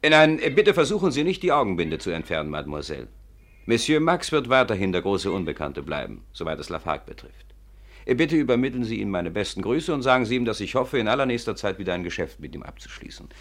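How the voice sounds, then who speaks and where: A commanding male criminal mastermind in a vintage radio drama. He speaks rapidly with wide, swooping intonation, formally polite and quietly menacing. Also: lowish voice, big pitch swings, heavily acted. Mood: imperious, polite. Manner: formal, courteous, imperious. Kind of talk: 1950s German radio play acting.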